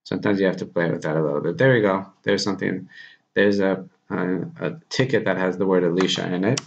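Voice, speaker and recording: Low-voiced man giving a casual screencast tutorial. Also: steady tone, fast, vocal fry. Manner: informal, thinking aloud while demonstrating. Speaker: tutorial presenter. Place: small plain room indoors. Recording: dull lo-fi audio, background noise.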